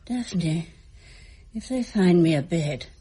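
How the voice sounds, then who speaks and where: An actress playing a tender, devoted dying woman, weak and in pain, breathy, in a radio drama. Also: lowish voice, lively intonation, slow, warm tone, exaggerated voice.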